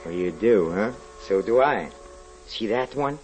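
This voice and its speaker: Low-voiced, hesitant French gentleman. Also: lively intonation. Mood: dreamy, fond. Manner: hesitant, halting, repeats words and murmurs. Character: sensitive French gentleman, nostalgic and nervous diarist. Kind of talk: 1940s radio drama acting, diary narration. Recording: vintage 1940s radio broadcast with music.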